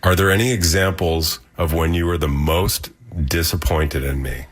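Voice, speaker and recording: Low-voiced man in his fifties interviewing. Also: fairly even intonation, moderate pace, breathy edge. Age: 50s. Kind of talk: informal recorded interview.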